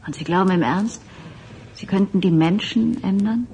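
A woman's voice: mid-range voice, moderate pace, a little mellow, slight background noise, close to an everyday voice. A woman playing a sceptical, probing questioner in a German radio drama, speaking thoughtfully and directly. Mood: incredulous, sceptical.

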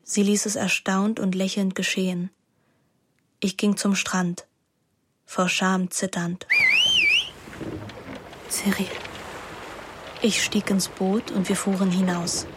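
An actress playing an introspective young woman narrating a literary radio play in a measured, languid manner. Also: medium pitch, flat delivery, background noise, natural voice. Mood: ashamed, quiet. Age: young adult. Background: music.

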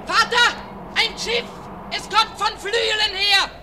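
A frightened young boy pleads urgently with his father. His voice is very high. Heavy storm and wind effects roar behind him. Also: steady tone, unhurried.

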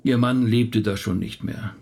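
Middle-aged rabbi in a radio play, gravelly voice, reflective and chatty, bit hesitant. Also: male voice, mid-range voice, steady tone, a little slow, dark tone. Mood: reflective, reminiscing. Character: thoughtful male rabbi recounting his late relative's life. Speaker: actor. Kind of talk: naturalistic radio drama dialogue.

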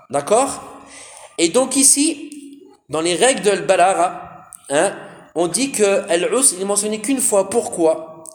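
A man's voice: high-pitched, reverberant. A man giving a religious lesson, asking his listeners questions and answering them himself, with frequent "hein" fillers and Arabic terms. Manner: addresses listeners as brothers, asks and answers own questions. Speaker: Islamic teacher. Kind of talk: lesson explaining Quran commentary.

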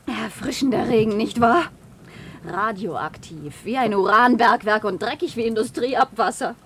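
A woman's voice: on the high side, unhurried, a bit bright. An actress playing a tipsy, sardonic woman of the future in a theatrical 1970s radio drama style. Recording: faint noise of rain.

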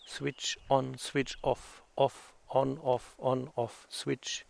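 A man performing fragmentary spoken word outdoors, naming things in deliberate single words and repetitions. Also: medium pitch, level tone, vocal fry. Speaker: performer in a walking sound piece. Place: outdoors on a forest path. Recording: faint outdoor noise and clicks.

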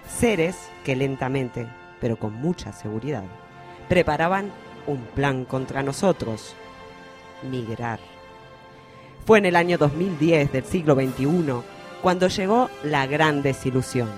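A man's voice: medium pitch, expressive, measured pace, soft background music, natural voice. A man playing an ominous prologue orator in a radio play, grandiose and melodramatic, warning of sinister invaders. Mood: grave foreboding. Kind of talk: theatrical scripted narration, War of the Worlds parody.

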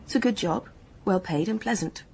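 An actress playing a young, guarded, matter-of-fact English dance hostess in a radio drama, speaking in a brisk, frank, colloquial way. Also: female voice, medium pitch, creaky, warm tone, slight background noise, natural voice. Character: hard-headed working-class hotel dance hostess, guarded, matter-of-fact.

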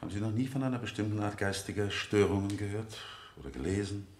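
A male actor plays a relentless courtroom lawyer with a lowish voice. He questions formally and pointedly, full of sardonic insinuation. It has the sound of a vintage radio play in an echoey room. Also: quick pace, darkish tone, close to an everyday voice. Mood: suavely insinuating. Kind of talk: 1970 German radio play acting.

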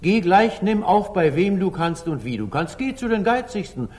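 An actor playing a generous, weary medieval sultan careless of money, in theatrical 1940s German radio drama style with a husky voice. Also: male voice, high-pitched, sing-song, normal speed, a little mellow, heavily acted. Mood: lordly, insistent. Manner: theatrical, declamatory. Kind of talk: 1940s German radio stage-classic acting.

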